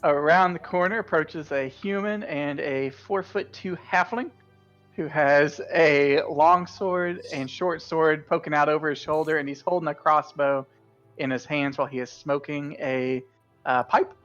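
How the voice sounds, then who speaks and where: A man's voice: fairly high pitch, fairly even intonation, unhurried, a bit bright, faint music behind. Chill guy playing a tabletop game on a call, kinda rambly, muffled audio. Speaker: player. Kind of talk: tabletop game play over video call.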